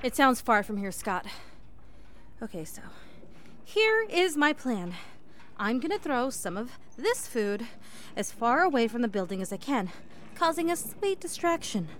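A woman's voice: a little high, very animated, measured pace, a little hiss or hum. A woman acting in an audio drama as a resourceful, reassuring hiker who rambles and swears under pressure. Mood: urgent planning. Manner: naturalistic, hesitant rambling.

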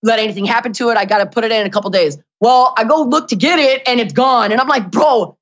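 A young woman guest in a casual chat, telling stories in slangy talk full of "dude" and "bro", her voice deep for a woman. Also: fast talker. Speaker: guest, former reality show cast member.

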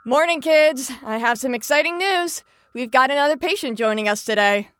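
An actress playing a brisk, sarcastic woman who runs a psychiatric facility in an audio drama. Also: high voice, lively intonation, a bit bright. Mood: upbeat, announcing. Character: bossy, breezy woman running a psychiatric patient facility.

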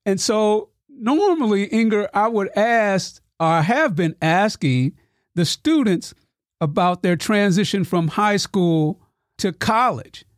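A man's voice: high-pitched, lively intonation, slow, no echo, clean studio sound. A man hosting a podcast interview with his students, enthusiastic and formal in his introductions. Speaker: podcast host and instructor.

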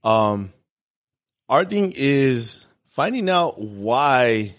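A young man hosting a casual podcast, slangy and relaxed, giving shout-outs and asking questions. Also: medium pitch, drawn-out words. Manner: laid-back, slangy, gives shout-outs. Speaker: podcast host.

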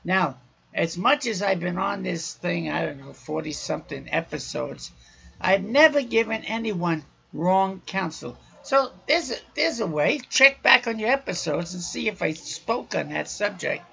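Man, raspy and husky, loud raucous podcast preacher, muffled lo-fi recording. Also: high-pitched, animated, a little slow. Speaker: podcast host.

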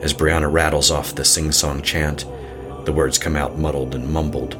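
A man narrating a scripted horror story in a measured, suspenseful manner. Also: very deep voice, little pitch movement, over music.

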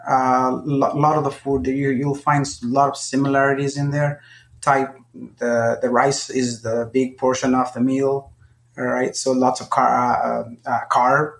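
Friendly man from Nepal, halting speech. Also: mid-range voice, fairly even intonation, creaky. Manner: friendly, halting with many fillers. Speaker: guest. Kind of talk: casual interview chat.

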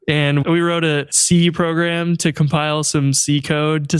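Laid-back man hosting with a smooth voice. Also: fairly high pitch, dry recording. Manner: relaxed, laughs often, rambling with many "like"s. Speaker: podcast host. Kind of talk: casual podcast chat.

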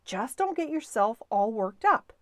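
A woman's voice: on the high side, sing-song, measured pace, dry recording, studio quality. A woman voicing a firm, sensible father in a children's storybook, with a stern, decisive manner. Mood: matter-of-fact.

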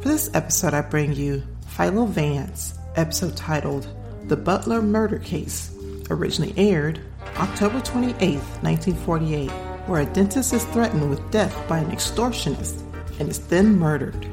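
A woman presenting a scripted podcast introduction as host, in a formal, measured manner. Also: low-pitched, expressive, music in the background.

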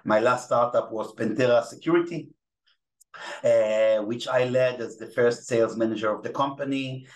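A man's voice: medium pitch, moderate pace. A middle-aged man with an Israeli accent, a native Hebrew speaker, answering as a podcast guest with many fillers and restarts. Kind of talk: podcast interview.

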